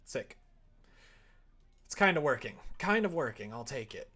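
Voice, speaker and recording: A man's voice: medium pitch, big pitch swings, a little slow, pushed and bright, husky. A man streaming casually, talking informally and muttering to himself as he works. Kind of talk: casual livestream chat while coding a visual novel.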